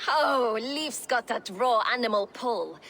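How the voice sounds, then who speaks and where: A woman's voice: a little high, animated, takes it slowly, fairly bright tone, full character voice. An actress playing a witty, teasing Viking warrior woman in a video game, with a playful, theatrical way of talking. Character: witty, flirtatious female Viking warrior with a playful irreverent streak. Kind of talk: video game character dialogue. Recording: game ambience noise behind voice.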